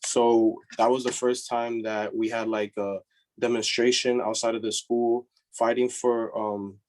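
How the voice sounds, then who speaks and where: A man's voice: mid-range voice, flat delivery, measured pace, low-quality recording. A young man, a student, sharing a memory on a video call in a casual way with many fillers.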